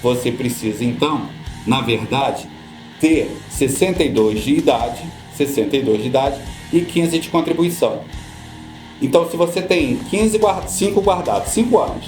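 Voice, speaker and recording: A middle-aged Brazilian man, a guest on a podcast, who explains pension rules patiently and often repeats his points to calm the listener. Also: mid-range voice, moderate pace, roomy sound, faint music behind. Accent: Brazilian Portuguese. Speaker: social security law guest.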